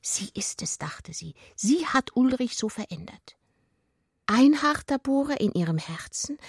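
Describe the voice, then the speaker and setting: A woman reading literary prose for a radio production, with intimate, expressive, deliberate phrasing that often falls to a whisper. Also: mid-range voice, dry recording, studio quality. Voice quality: breathy, often falling to a whisper. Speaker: narrator of a radio literary adaptation. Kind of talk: literary scripted narration. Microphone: close studio mic, dry.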